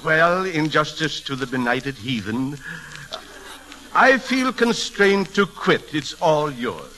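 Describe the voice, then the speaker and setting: An actor playing a pious, sly deacon in an old radio drama, speaking in genteel, flowery phrases with feigned hesitant innocence. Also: male voice, on the high side, big pitch swings, slow pace, bright, forceful tone, exaggerated voice. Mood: sanctimonious. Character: wily, falsely meek, pious deacon and card sharp. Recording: noisy vintage radio recording.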